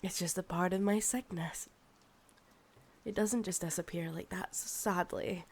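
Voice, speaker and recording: Casting: actress playing a Scottish vampiress thousands of years old, possessive yet soothing, unhurried, low gravelly murmur and whispers for ASMR roleplay. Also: female voice, steady tone, darkish tone. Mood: soothing, weary. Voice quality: breathy, often dropping to a whisper. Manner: slow, coaxing, sighing. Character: ancient insatiable female vampire, possessive, soothing and sickly.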